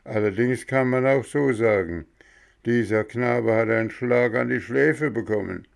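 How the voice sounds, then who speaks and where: A man's voice: medium pitch, a little slow, gravelly. A man voicing stern male gendarmes who bark commands. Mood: stern commanding. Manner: barking, commanding.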